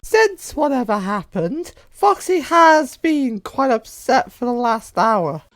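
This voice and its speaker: Woman as a high, bossy animatronic girl. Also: sing-song, takes it slowly, full character voice. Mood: insistent. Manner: insistent, curt. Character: bossy, nosy girl animatronic who shushes others. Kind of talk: amateur comic dub acting.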